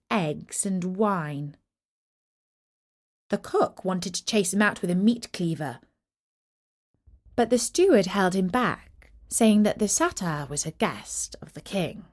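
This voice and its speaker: A woman reads a children's tale with lively, swooping intonation. Her pace is slow and deliberate, each phrase carefully set out in an expressive storytelling manner. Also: medium pitch. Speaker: storyteller, narrator. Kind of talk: scripted children's storytelling narration of a Greek myth.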